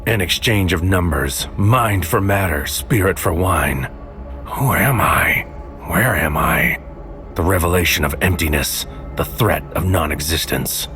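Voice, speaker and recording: Low-voiced male tormented sci-fi antihero, grave poetic first-person narration, music clearly underneath. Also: lively intonation, bright, forceful tone, heavily acted. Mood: brooding. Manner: grave, deliberate, poetic delivery. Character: brooding, tormented male protagonist of sci-fi mystery. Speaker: narrator and protagonist. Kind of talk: first-person scripted audio drama narration. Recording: music behind the voice.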